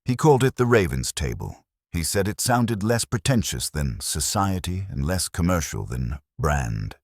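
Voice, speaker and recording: A man's voice: low voice, sing-song, normal speed, no echo, clean studio sound. A man voicing a nervous, grieving widow in her thirties, pleading and holding herself together. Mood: tense, grieving.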